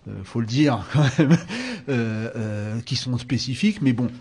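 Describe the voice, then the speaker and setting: A man's voice: medium pitch, unhurried, a little mellow, breathy edge. A man hosting a radio interview, talking casually with many fillers and restarts. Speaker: radio show host.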